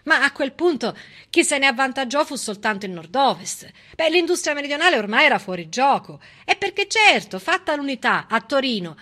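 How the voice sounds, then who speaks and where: Female stage monologist, raised pitch and sharp bright tone; emphatic, ironic, persuasive storytelling with deliberate pauses. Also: sing-song.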